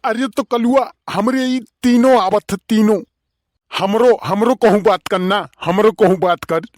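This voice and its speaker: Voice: medium pitch, measured pace, darkish tone, no echo, clean studio sound, natural voice. A performer voicing a nosy, gullible village bachelor in a comedy cartoon, drawling inquisitively in an Awadhi accent. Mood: eager, admiring.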